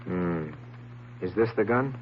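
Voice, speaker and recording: A man's voice: sits low, animated, takes it slowly, slight background noise. An American man playing a wisecracking, cynical private eye in an old-time radio drama, with a slangy, sardonic delivery. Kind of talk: old-time radio detective acting and narration. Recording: dull vintage radio recording with music.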